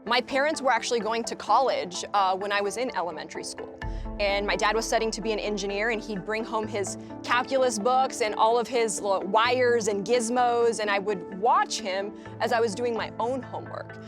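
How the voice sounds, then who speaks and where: A woman speaks informally as an engineer being interviewed. Her pitch is average. Music is clearly audible behind her. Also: moderate pace. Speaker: NASA payload systems engineer.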